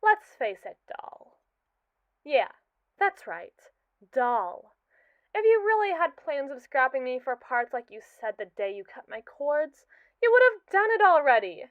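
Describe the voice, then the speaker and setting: A woman's voice: high-pitched, big pitch swings, no echo, narrow, tinny audio. A woman as a sardonic captive robot AI. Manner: verbose, arch and mocking, with slow drawn-out emphasis. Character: haughty, scheming captive robot AI, sardonic and condescending. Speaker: ASMR roleplay performer. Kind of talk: scripted ASMR roleplay monologue.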